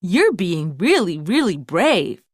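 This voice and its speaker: A woman's voice: fairly low pitch, sing-song, slow, slightly rough, no room sound, clean studio sound, exaggerated voice. A woman voicing a caring, confident young girl who doctors toys in a storybook, explaining things reassuringly. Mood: encouraging.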